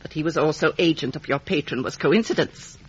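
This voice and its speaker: A woman's voice: low-pitched, a little fast, breathy edge. An actress playing a bitter, mocking, imperious old woman in a 1950s radio drama. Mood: sly, dismissive. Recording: muffled, dull vintage radio recording.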